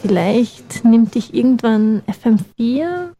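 Young woman, campus radio host, mellow and higher-pitched, chatty. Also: lively intonation, takes it slowly, a little hiss or hum. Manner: chatty, teasing, laughs often. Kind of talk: casual radio chat.

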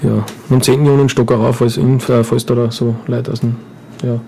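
A man's voice: mid-range voice, flat delivery, a little fast, creaky, mellow, slight background noise. Rambling Upper Austrian man chatting. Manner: rambling, joking, many fillers and restarts. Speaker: guest performer. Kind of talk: casual radio interview. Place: radio studio.

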